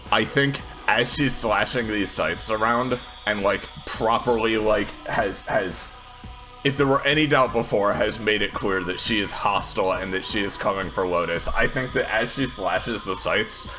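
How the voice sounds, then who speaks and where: A man playing a tabletop role-playing game, improvising narration of a character's actions in a halting way, searching for words. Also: lowish voice, pushed and bright, soft background music, rough recording.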